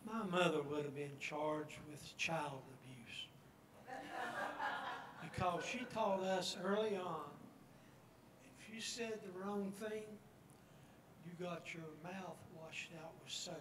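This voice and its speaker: Guy in a church class telling a story real slow, fairly high voice, echoey and far. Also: over a noisy crowd. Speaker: class member. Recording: echoey room, distant mic, noticeable noise.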